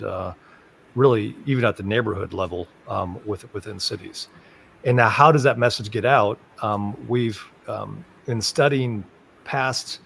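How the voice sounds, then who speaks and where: Man, low gravelly voice, halting panel talk, faint hiss behind. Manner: halting, full of ums and restarts. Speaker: panel speaker. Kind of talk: discussion on a web forum call.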